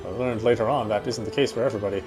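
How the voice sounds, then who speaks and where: Man voicing a fast, wry British narrator. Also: fairly low pitch, fairly even intonation, over music, close to an everyday voice. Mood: brooding, confused. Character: wry, self-justifying British husband's inner monologue. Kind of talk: first-person visual novel narration.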